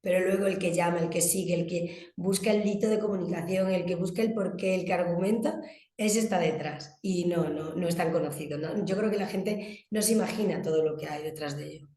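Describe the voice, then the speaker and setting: Woman, Spanish accent from Spain, mellow voice, conversational interview answers, explanatory and emphatic, echoing room. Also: fairly low pitch, a little fast. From Galicia, Spain. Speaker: interviewed guest, communications agency director.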